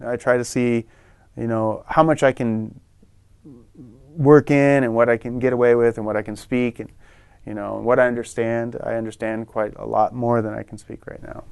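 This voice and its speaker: A middle-aged man talking in a relaxed, rambling way, often restarting his sentences, as a guest in an interview-style lesson. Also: mid-range voice, normal speed, creaky, smooth, dry recording. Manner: relaxed, rambling, self-correcting. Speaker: guest in a language lesson show. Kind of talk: casual interview chat.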